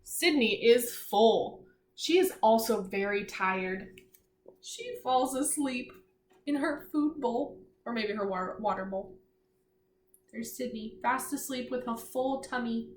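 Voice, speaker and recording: A woman teacher reading aloud to young children, pausing to explain simply, ask questions and encourage her listeners. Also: a little high. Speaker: elementary school teacher. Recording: echoey room, faint background noise.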